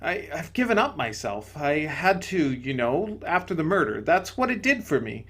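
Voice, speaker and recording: A man voicing a radiant, serene male spirit who speaks with gentle, patient calm, in a storybook reading. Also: fairly high pitch, moderate pace, husky, exaggerated voice.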